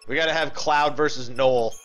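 A man's voice: medium pitch, fairly even intonation, normal speed, fairly bright tone. A man giving casual live video game commentary, thinking aloud and swearing as he chats with viewers. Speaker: livestream host playing a video game. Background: game music.

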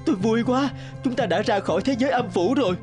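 A man's voice: very high-pitched, fast. A man voicing an earnest, emotional young village husband in a fairy-tale cartoon. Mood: joyful. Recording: music under the voice.

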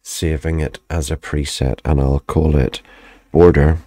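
A man with an extremely low, deep voice talks through a software editing tutorial one step at a time. He is calm and methodical, with a slight croak left over from the flu. The sound is dry and close, with no room echo. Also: a little slow. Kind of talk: screen-recorded software tutorial.

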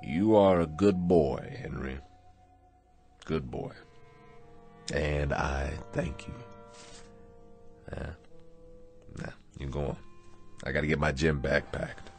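A low-pitched, battered American prizefighter, gentle and humble, talks plainly and wistfully. It is radio drama, with music clearly underneath. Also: male voice, fairly even intonation, slow pace, long pauses, exaggerated voice. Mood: warm, tender. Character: weary, battered aging boxer, gentle and humble. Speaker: actor.

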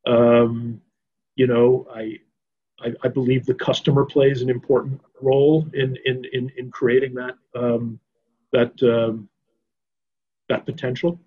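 An older man speaking off the cuff on a video call, measured and halting, repeating words as he thinks. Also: mid-range voice, flat delivery, slightly rough. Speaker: panelist in a business discussion. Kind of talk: unscripted conference discussion. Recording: slightly dull video-call audio.

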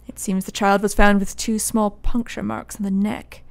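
Radio drama doctor, played by a woman: earnest, sceptical, troubled, formal diction, mid-range female pitch. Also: little pitch movement, moderate pace, creaky, lightly acted. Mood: puzzled, doubtful. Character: troubled, sceptical male doctor, the professor's former student.

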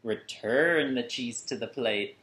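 A man in his thirties reading a picture book aloud to children with deliberate, singsong phrasing and dramatic emphasis. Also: mid-range voice, a bit bright. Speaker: children's story reader. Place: small furnished room at home, indoors. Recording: roomy indoor echo.

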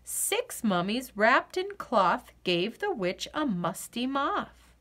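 A woman reading a children's picture book aloud in an expressive, sing-song storyteller's delivery. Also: a little high, takes it slowly.

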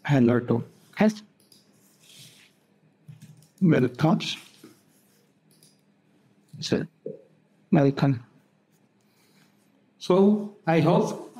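A man narrating a software tutorial in halting, step-by-step fashion as the host. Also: on the high side. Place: voice-over for a screen recording.

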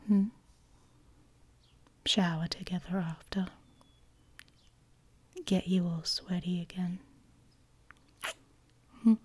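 Woman playing slow, creaky-voiced smug she-wolf lover. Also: medium pitch, mellow, full character voice. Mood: flirtatious, teasing.

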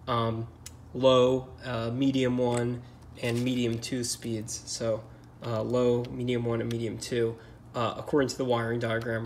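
A man's voice: mid-range voice, a little hiss or hum. A man giving a casual hands-on repair explanation in a hesitant, matter-of-fact way. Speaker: car repair vlogger.

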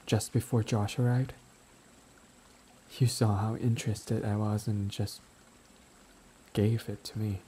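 A man playing a gentle, timid, grieving best friend in an intimate audio roleplay, speaking softly and haltingly as he confides. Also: fairly low pitch. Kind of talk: boyfriend ASMR audio roleplay, intimate dramatic acting. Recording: noticeable background ambient noise.

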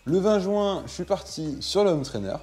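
A young man in his twenties narrates a laid-back recap for his viewers, speaking into a lapel mic indoors. His tone is mellow and dark, a little high for a man, with faint music under it. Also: normal speed. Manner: relaxed, recaps events for viewers. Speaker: vlogger. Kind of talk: voice-over narration. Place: indoors at home.

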